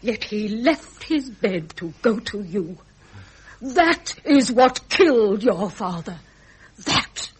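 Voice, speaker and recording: Brief: elderly British queen for radio play, imperious and wounded, measured delivery, voice swinging widely in pitch. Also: lively intonation, breathy edge, full character voice, low-quality recording. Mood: bitter, accusing. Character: stern, grieving old queen, reproachful mother of grown son. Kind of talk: British radio drama acting.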